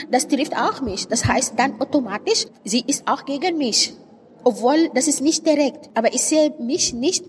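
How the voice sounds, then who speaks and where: A woman from Sudan, a native Arabic speaker with an Arabic accent, gives reflective interview answers in a searching, emphatic way. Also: a little high. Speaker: interviewed writer and poet. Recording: reverberant hall, clear background noise.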